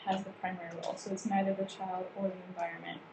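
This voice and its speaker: Woman, workshop trainer, gravelly, explanatory, lots of fillers, roomy sound, background noise. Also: fairly low pitch, flat delivery, racing. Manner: explanatory with frequent fillers and restarts. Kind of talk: teaching a staff training session.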